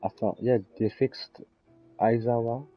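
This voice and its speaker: A young man chatting casually while playing a game, halting and often trailing off mid-thought. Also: medium pitch, steady tone. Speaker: game streamer. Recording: dull lo-fi mic, game music behind.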